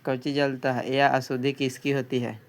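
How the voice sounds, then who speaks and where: A man's voice: medium pitch, flat delivery, normal speed. A man teaching an exam practice lesson, reading out questions and answers and often repeating a question before answering.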